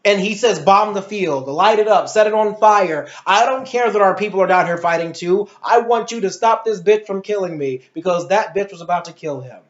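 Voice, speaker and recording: A woman's voice: mid-range voice, normal speed, hardly any pauses. A woman giving an opinionated, casual movie review, ranting emphatically with swearing and a rambling, exasperated delivery. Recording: roomy echo, dull lo-fi sound.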